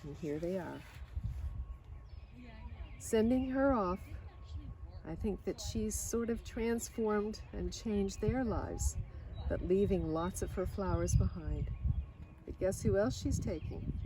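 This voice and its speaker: A woman's voice: medium pitch, takes it slowly, long pauses. Older woman guiding children, noisy outdoor recording. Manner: explanatory, addresses children directly. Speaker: volunteer story reader and garden guide. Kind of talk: read-aloud for children, informal guided tour. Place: outdoors in a botanic garden. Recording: outdoor recording with clear background noise. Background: outdoor ambience, noise.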